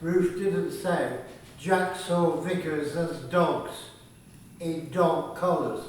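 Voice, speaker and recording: Slow male narrator in a very echoey room. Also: fairly high pitch. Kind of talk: scripted radio play narration. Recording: very echoey, distant, faintly noisy room.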